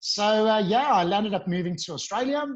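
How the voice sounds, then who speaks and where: Guy, 40s, kinda high voice, keen and earnest, video call. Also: animated, normal speed, dull audio. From South Africa. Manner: upbeat, earnest, with bursts of emphasis. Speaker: podcast guest, founder. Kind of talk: casual interview chat.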